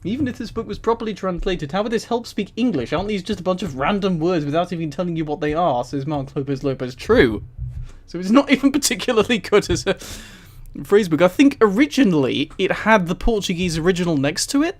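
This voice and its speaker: A live streamer with a mid-pitched voice reads aloud in a casual, chatty way. The delivery is amused and incredulous, breaking off for mildly sweary commentary to the viewers. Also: expressive, a little fast. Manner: amused, often laughing while reading. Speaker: streamer and host.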